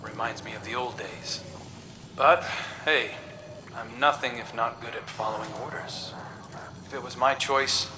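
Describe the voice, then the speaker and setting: A man's voice: medium pitch, fairly even intonation, a little slow, background noise, natural voice. Man as a wry veteran soldier, echoey. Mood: wry, subdued. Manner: casual, wry. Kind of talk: scripted game dialogue. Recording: roomy echo, music behind.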